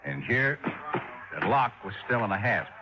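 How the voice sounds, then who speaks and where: An actor playing a methodical, plain-spoken fire chief in 1950s radio drama, explaining things insistently. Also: male voice, lowish voice, very animated, moderate pace. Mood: earnest, explaining. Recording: dull, noisy old radio recording.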